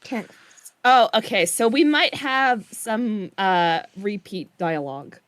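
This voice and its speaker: A woman's voice: mid-range voice, slow pace, no echo. A woman chatting casually as a story's creator troubleshooting it live, informal, swearing and repeating her phrases. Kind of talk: casual chat.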